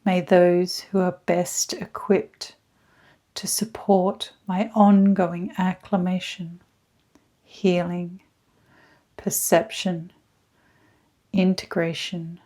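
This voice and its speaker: A woman leading a scripted guided meditation in a soft, at times breathy voice, with hushed, soothing, deliberate phrasing and frequent pauses. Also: on the low side. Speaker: guided meditation leader, energy healer.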